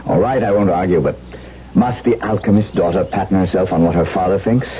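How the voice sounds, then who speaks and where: An actor as a deep-voiced lovestruck gentleman. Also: a little fast, a little hiss or hum, close to an everyday voice. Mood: eager, smitten. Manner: romantic, florid, intense. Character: ardent, lovestruck gentleman telling his own tale. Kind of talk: 1970s radio drama acting and dramatic narration. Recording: dull 1970s radio broadcast.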